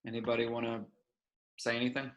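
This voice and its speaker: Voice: mid-range voice, monotone, quick pace, highs cut off, noisy background, natural voice. An actor in an amateur table read playing a terse, businesslike male spaceship captain. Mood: terse, grave.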